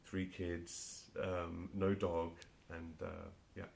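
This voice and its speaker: A man's voice: deep voice, flat delivery, drawn-out words, vocal fry, darkish tone, a little hiss or hum. A man in his forties with a London accent, answering interview questions with frequent fillers and restarts. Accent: London English. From England. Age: 40s. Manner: hesitant, many restarts and fillers. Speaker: marketing automation specialist, guest.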